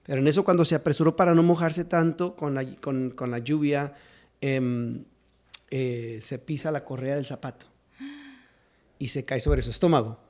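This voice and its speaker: A mid-pitched man in his fifties from South Texas, appearing as a pastor guest on a podcast. He tells his life story conversationally, pausing often, restarting his sentences and laughing lightly. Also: steady tone, a little mellow. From South Texas, United States. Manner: hesitant, with frequent fillers and restarts, laughs easily. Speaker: pastor and singer-songwriter, guest.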